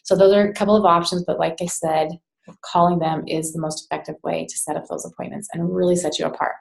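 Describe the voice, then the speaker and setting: A woman's voice: lowish voice, flat delivery, fast, gravelly. A woman giving an informal training presentation in a conversational way, addressing listeners directly and checking in with them. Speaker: sales trainer. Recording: roomy, echoey sound.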